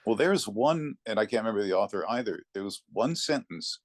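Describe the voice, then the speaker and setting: A man's voice: lowish voice, animated, fairly quick, no echo, clean studio sound. A man talking casually as a guest on a podcast. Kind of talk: casual chat.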